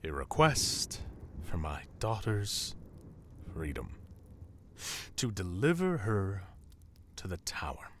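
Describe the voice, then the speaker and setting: A man playing a stern, possessive royal father in a fantasy audio drama, husky and halting, with an imperious, menacing manner. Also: low voice, big pitch swings, fairly bright tone, slight background noise, exaggerated voice. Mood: incredulous, menacing. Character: stern, possessive king, anguished father of ailing princess. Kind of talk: audio roleplay drama acting.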